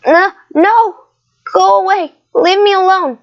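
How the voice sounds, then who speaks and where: A woman voicing an anxious, sensitive adolescent boy in a cartoon comic dub, fretful and halting. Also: very high voice, steady tone, highs cut off, a little hiss or hum, pitched above the performer's own voice, exaggerated voice. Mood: panicked.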